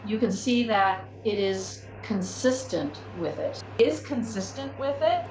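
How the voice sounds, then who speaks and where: A woman giving a step-by-step spoken product tutorial as a demonstrator, with halting, repetitive phrasing. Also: mid-range voice, expressive, a little mellow. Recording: clearly noisy room ambience.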